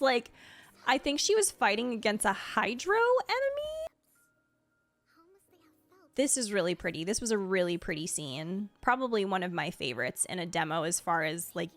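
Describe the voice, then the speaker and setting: A woman's voice: fairly high pitch, a bit bright. A woman giving casual, chatty reaction commentary as a video creator, rambling along with gushing asides. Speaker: video creator and game streamer. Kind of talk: casual reaction commentary, ranking game trailers. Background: faint music.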